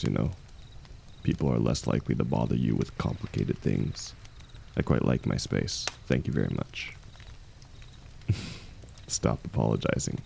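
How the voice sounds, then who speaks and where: A man playing a seasoned ex-mercenary warrior in an intimate fantasy audio drama, gruff but kindly, gently teasing and unhurried in his talk. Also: extremely low pitch, slight background noise, exaggerated voice.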